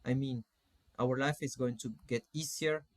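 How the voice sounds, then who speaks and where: A man in his forties from Argentina with an Argentine Spanish accent gives an informal online lecture as a coach, phrasing things in a halting, deliberate way. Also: medium pitch, fairly even intonation, no room sound. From Salta, Argentina. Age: 40s. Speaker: spiritual coach teaching an online course.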